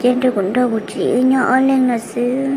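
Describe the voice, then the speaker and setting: A woman's voice: on the high side, measured pace. A woman voicing a female character in a family drama cartoon, in dramatic dialogue. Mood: conversational. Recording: clearly noisy background.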